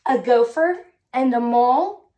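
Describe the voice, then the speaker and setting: A woman storyteller reading a picture book expressively to young children, drawing out words in an animated way. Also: a little high. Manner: deliberate, drawn-out, animated delivery for young children. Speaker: children's read-aloud storyteller.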